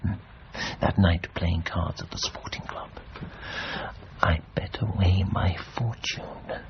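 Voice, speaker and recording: An actor in a radio drama playing a weary, disillusioned middle-aged former adventurer, bitter at himself, halting and brooding. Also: male voice, low voice, fairly even intonation. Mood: confessional. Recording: dull, slightly noisy radio recording.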